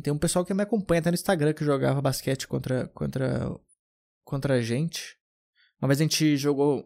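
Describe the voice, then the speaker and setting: A young man from southern Brazil chats on his podcast, drifting from one anecdote to the next. His voice sits fairly high for a man, and he keeps restarting his sentences in an easygoing, rambling way. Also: darkish tone. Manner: rambling, loose anecdotes with many restarts and filler. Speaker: podcast host. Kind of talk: casual storytelling chat.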